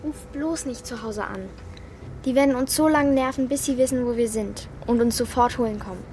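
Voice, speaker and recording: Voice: mid-range voice, normal speed, darkish tone, slight background noise, lightly acted. A performer voicing a young girl in a children's radio play, direct, inquisitive and decisive in how she talks. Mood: urgent, decisive.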